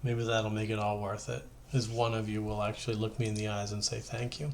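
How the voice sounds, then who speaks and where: A man's voice: on the low side, little pitch movement, a little fast, creaky, slight background noise. A man giving an informal math lesson as a teacher, talking conversationally and thinking aloud as he works through steps. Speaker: high school math teacher.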